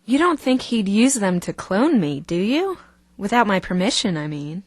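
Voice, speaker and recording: A woman's voice: medium pitch, big pitch swings, normal speed, no echo, studio quality. An actress playing a chatty, self-assured female talk show co-host in an audio drama. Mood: wry, chatty.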